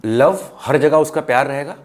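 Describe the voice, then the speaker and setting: A man's voice: a little high, moderate pace. An Indian man in a casual film discussion, speaking emphatically and giving firm opinions, mixing English phrases into his Hindi.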